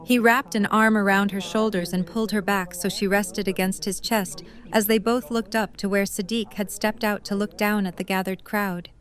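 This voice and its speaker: A woman's voice: medium pitch, steady tone, moderate pace, few pauses. A woman narrating a scripted story as the narrator of an audio drama.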